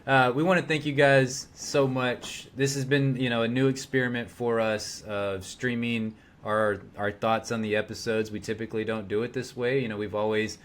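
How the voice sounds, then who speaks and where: A man's voice: medium pitch, steady tone, normal speed, few pauses, fairly bright tone. A man co-hosting a livestreamed TV review, joking around and swearing freely. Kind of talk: casual chat.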